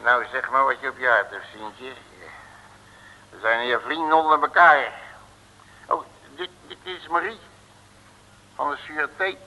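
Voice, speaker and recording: An actor playing a cocky, blustering streetwise detective in an old Dutch radio play, with a cheeky colloquial manner. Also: male voice, mid-range voice, steady tone, measured pace, long pauses, pushed and bright, slight background noise. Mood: breezy. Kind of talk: 1950s-60s Dutch radio play acting. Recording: old radio recording, reverberant hall.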